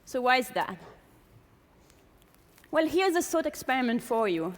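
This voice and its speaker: A woman's voice: high voice, sing-song, unhurried. A woman from France with a strong French accent, giving a public lecture to an audience in a large hall and posing many rhetorical questions. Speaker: speaker giving a talk to an audience. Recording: long hall reverb.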